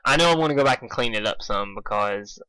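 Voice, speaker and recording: A man's voice: lowish voice, quick pace, bright, forceful tone, clear, resonant tone, dry recording, poor audio. A young man narrating a fan story, informal, stumbling over words and correcting himself, with casual asides. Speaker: amateur fan fiction reader.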